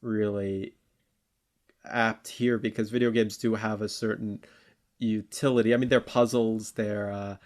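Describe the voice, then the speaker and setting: Low-voiced man in casual political discussion. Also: level tone, a little mellow. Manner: halting, repeats words, informal. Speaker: podcast co-host debating politics.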